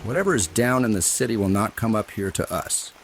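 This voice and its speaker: A man's voice: lowish voice, animated, fairly quick, faint music behind. An actor playing a stern, scolding man of a medieval household in an audio drama. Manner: scolding, imperious.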